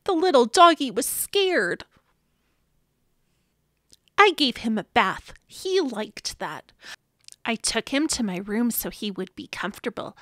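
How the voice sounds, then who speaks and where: A woman's voice: a little high, sing-song, slow pace, pauses often, a bit bright, no room sound, studio quality. A woman hosting a read-aloud, narrating expressively and dramatically for small children. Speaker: storybook reader, channel host.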